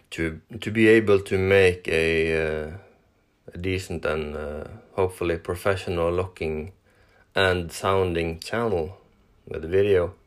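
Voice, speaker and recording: Slow, deep-voiced Norwegian man, hesitant. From Norway. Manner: hesitant, many fillers and restarts. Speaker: podcast host. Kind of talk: casual solo podcast.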